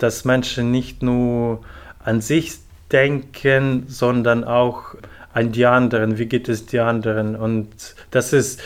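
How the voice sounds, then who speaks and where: A young man from Ukraine speaks as an interview guest, slowly and with little rise and fall in his voice. He pauses often and searches for words. His pitch sits in an ordinary middle range. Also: smooth. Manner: slow, measured, many pauses and fillers. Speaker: interview guest, volunteer organizer. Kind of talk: thoughtful interview answers.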